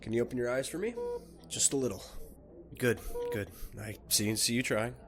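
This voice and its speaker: A man playing a calm emergency doctor in an audio roleplay, gentle and reassuring yet authoritative. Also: mid-range voice, moderate pace, natural voice. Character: calm, reassuring male emergency doctor tending critical patient.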